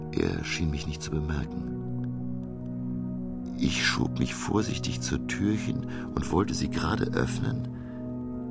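Radio drama: grave, haunted professor recounting events, very deep creaky voice, expressive delivery, music underneath. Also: male voice, heavily acted. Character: grave, shaken professor recounting his escape from a submarine. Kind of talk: dramatic first-person radio play narration. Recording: music behind the voice.

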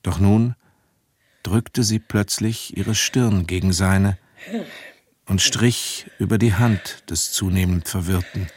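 Grown son narrator, low voice, sober, reflective, literary radio drama. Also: male voice, takes it slowly, breathy edge, dry recording, heavily acted. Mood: somber, reflective. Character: grown son soberly recalling his parents.

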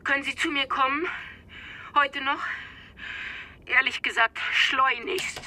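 Urgent female caller, radio drama: piercingly bright, higher register, agitated, through a phone. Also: fairly even intonation, slow pace, heavily acted. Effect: telephone.

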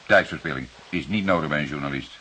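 An actor with a Netherlands Dutch accent playing a seasoned, wry, fatherly older police detective, speaking deliberately and a little ornately, as if lecturing, in a radio drama. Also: extremely low pitch, sharp tone. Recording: hissy old radio play recording.

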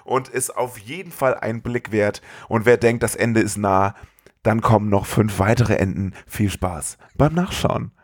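A man's voice: on the low side, measured pace, no echo, studio quality. Casual male podcast host recapping a film.

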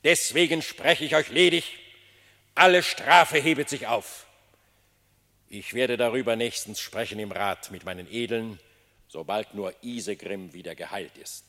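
A gracious lion king hands down his rulings with stately, measured calm. His voice sits at an ordinary middle pitch. It comes from a little off the mic and carries a long hall reverb, like a king heard across a throne room in a radio play. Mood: regal.

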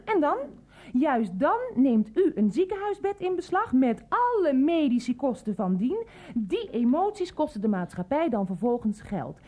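A woman's voice: high-pitched, big pitch swings, unhurried, dark tone, heavily acted. An actress playing a pedantic, insistent greengrocer who lectures her customers in wordy, formal speech in a comic radio play. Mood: stern, preachy.

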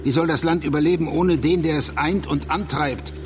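A man's voice: fairly high pitch, fairly even intonation, normal speed, close to an everyday voice. Sardonic doomsaying man played by an actor, noisy background. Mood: indignant. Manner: sardonic, rhetorical. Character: bitter, fearful conservative educated man, sardonic doomsayer. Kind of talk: German radio drama acting. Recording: clearly noisy background ambience.

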